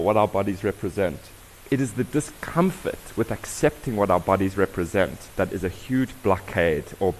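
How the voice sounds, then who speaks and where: A young South African man giving an interactive conference talk, firing questions at the audience in a lively way. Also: fairly low pitch, slight background noise, low-quality recording. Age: young adult. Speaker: diversity workshop presenter.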